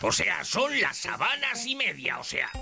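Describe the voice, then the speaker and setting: Muddled, gravelly cartoon character. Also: male voice, high voice, huge pitch swings, unhurried, heavy background noise, full character voice. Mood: confused. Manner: confused, repetitive. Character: muddled, rambling cartoon character learning the time. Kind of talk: cartoon dub acting. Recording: music behind the voice.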